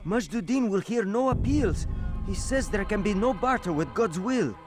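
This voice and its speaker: A man's voice: sits high, lively intonation, moderate pace. An actor playing a sorrowful, helpless man bringing bad news in a video game, apologetic and pleading. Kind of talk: dramatic video game voice acting. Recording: music behind the voice.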